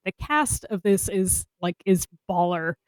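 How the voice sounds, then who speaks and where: A woman's voice: fairly low pitch, expressive, measured pace, no room sound. Enthusiastic woman chatting, dry close sound. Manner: enthusiastic, gushing. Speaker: podcast co-host. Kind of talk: casual panel chat.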